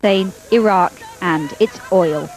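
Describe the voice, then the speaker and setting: A woman's voice: lowish voice, drawn-out words, faint music behind, low-quality recording. A woman narrating a scripted television news report.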